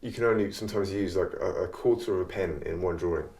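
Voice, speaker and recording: A man's voice: low-pitched, fast, darkish tone. A man, an artist, talking casually about himself in an interview. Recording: echoey room.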